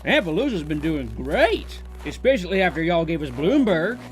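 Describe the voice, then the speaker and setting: A man's voice: fairly high pitch, sing-song, normal speed, faint music behind. A man voicing a friendly young male cowboy pony in a fan audio drama, with a polite, folksy drawl.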